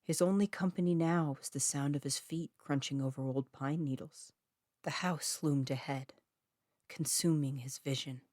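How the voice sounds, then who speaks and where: A woman's voice: low-pitched, normal speed, darkish tone, dry recording, clean studio sound. A woman reading her own eerie short story aloud as scripted narration.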